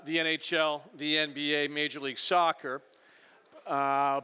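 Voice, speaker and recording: A man's voice: fairly high pitch, smooth. Man presenting in an echoing hall. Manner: relaxed, joking asides with frequent uh fillers. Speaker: conference speaker, partnerships executive. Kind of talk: business presentation to a live audience. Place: conference hall. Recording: long hall reverb.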